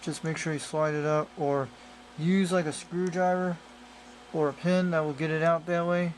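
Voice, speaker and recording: A man with a slightly high voice talks through a hands-on gadget demo. He explains casually and rambles, often circling back over the same point. It is an amateur camera recording with faint noise behind him. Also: fairly even intonation, moderate pace. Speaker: radio hobbyist presenter. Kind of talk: casual hands-on product demonstration. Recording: noisy amateur camera audio.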